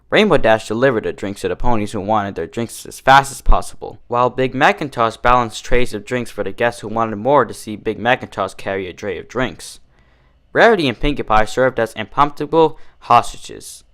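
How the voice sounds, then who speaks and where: A man's voice: medium pitch, a bit bright. A man reading a story aloud as scripted narration. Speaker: fan fiction reader.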